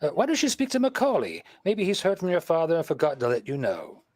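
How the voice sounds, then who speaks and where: An actor playing a suave, wisecracking married detective, breezy and teasing, in a 1930s radio style. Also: male voice, fairly high pitch, wildly swooping pitch, quick pace, a little mellow, dry recording. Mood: breezy, affable.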